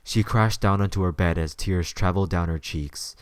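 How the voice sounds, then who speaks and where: A man's voice: deep voice, moderate pace, smooth, no echo. A man narrating a scripted story as the narrator of an audio drama.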